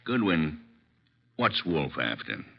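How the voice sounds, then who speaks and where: A gruff police inspector in vintage radio drama. The voice is very low yet animated in pitch, heard on a dull old recording. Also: male voice, slow, full character voice. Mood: businesslike. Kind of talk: 1940s-50s radio drama acting.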